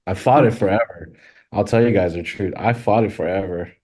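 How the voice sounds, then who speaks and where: A man from Thailand, a podcast guest, speaking haltingly with many fillers and restarts in a casual interview. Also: sits low, dark tone, breathy edge. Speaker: podcast guest, western filmmaker.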